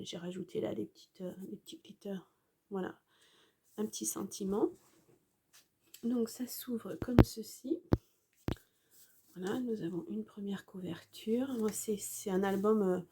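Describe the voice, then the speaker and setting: A woman's voice: mid-range voice, dark tone, slight background noise. A woman who has a cold, chatting casually as she shows a handmade craft project, hesitant and full of fillers. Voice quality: husky. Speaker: scrapbooking crafter. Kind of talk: casual craft show-and-tell.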